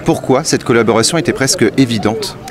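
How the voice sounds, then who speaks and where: A man interviewing a guest for radio outdoors at a fair, asking brisk questions with small stumbles. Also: mid-range voice. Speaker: radio reporter.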